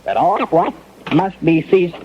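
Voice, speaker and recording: An actor playing a grave doctor reading a formal emergency statement in a radio drama, stiff, emphatic and slightly halting. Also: male voice, on the high side, lively intonation, close to an everyday voice. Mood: grave, urgent. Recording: dull, noisy old radio recording.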